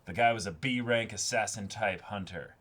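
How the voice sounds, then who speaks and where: A man with a fairly low voice co-hosts a comic read-along. He reads lines aloud with mock drama and drops casual, profane, joking commentary between them. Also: moderate pace, fairly bright tone. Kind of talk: reading comic dialogue aloud with casual reactions.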